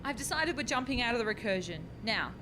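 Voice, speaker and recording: An actress performs a harried young woman scientist in a radio comedy. Her high voice swoops about, flustered and dryly exasperated, over a clearly noisy, rumbling home recording. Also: expressive, fast, close to an everyday voice. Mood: brisk, exasperated. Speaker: radio play actor. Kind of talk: comic radio play acting.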